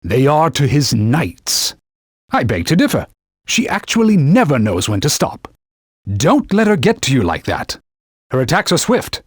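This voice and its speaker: A man's voice: mid-range voice, wildly swooping pitch, unhurried, dry recording, exaggerated voice. A man voicing a talking holy sword, a stern old knightly mentor who speaks formally and barks urgent warnings in a game. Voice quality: husky, formal, commanding. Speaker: video game character. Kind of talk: game voice clips. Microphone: studio mic. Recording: dry studio recording.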